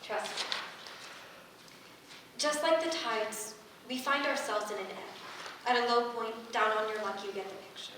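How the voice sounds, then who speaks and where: A woman playing a steady, encouraging young heroine with water powers, speaking earnestly and deliberately in a staged reading. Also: fairly high pitch, recorded from a distance. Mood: coaxing, calm. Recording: echoey room from afar, faint noise.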